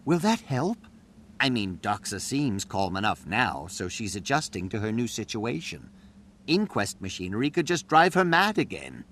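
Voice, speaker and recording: A man's voice: on the low side, sing-song, moderate pace, a bit bright, a little hiss or hum. A man playing an anxious, devoted young gnome-like engineer in a video game, earnest and pleading. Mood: worried, pleading. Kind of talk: video game cutscene acting.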